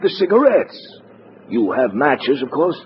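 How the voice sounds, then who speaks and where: Anxious, swooping communist spy. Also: male voice, medium pitch, measured pace. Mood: urgent, pleased. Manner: formal, stiff, excitable. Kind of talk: 1950s radio drama acting. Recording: muffled, noisy old radio recording.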